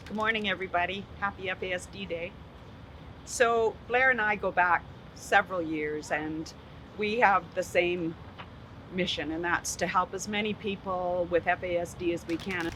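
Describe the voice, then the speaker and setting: A woman reading a short inspirational story aloud as a guest, in a measured storytelling manner, then speaking directly to listeners. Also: on the low side, bright, forceful tone, a little hiss or hum, low-quality recording. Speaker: guest reader.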